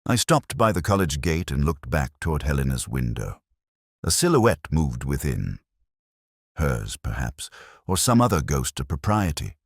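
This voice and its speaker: Very deep-voiced male story narrator. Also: big pitch swings, measured pace, slightly rough, no echo, clean studio sound. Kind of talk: scripted first-person detective story narration.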